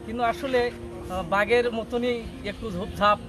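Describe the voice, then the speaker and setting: A man from Bangladesh visiting a river spot, talking outdoors in a relaxed, pleased way. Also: sits high, normal speed, over music. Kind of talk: casual on-location comments.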